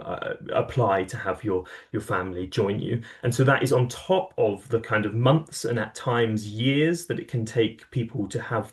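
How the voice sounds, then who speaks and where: A man, an advocacy coordinator, explaining policy in conversation, with many fillers and restarts. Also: lowish voice.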